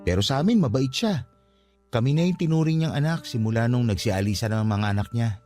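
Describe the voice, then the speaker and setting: A Filipino man acting in a radio drama as a calm, respectful young caretaker of a haunted guesthouse, speaking politely and reassuringly. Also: medium pitch, a little fast, faint music behind, lightly acted. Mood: reflective.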